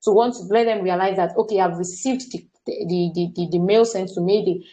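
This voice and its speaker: A woman's voice: medium pitch, fairly even intonation, a little fast, mellow. A young Nigerian woman leading a staff training talk, earnest and often stopping to repeat words or say "what's it called.". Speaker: workshop facilitator.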